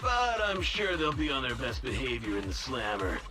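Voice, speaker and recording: Cocky gang boss, swooping delivery. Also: male voice, medium pitch, wildly swooping pitch, normal speed, over music. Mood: coolly sardonic. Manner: cocky, slangy, needling. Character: brash, money-minded rough mining gang boss. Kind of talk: English video game voice acting.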